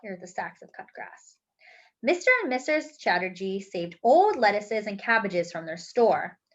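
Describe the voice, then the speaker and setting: A woman reading a children's picture book aloud in an expressive, careful, teacherly way. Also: mid-range voice, normal speed, dull audio.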